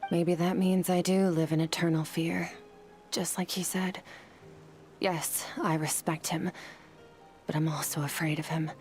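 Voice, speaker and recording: A woman playing a stoic young swordswoman in a video game, somber and driven, speaking in a grave, measured way. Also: sits low, level tone, darkish tone, soft background music. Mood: somber, fearful. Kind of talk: scripted video game voice acting.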